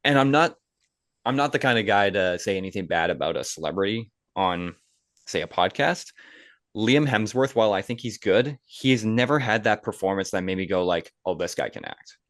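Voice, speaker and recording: A man hosting a casual podcast with a somewhat low voice. He is opinionated and conversational. His voice is recorded dry, without room echo. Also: lively intonation, moderate pace, a bit bright. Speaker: podcast host.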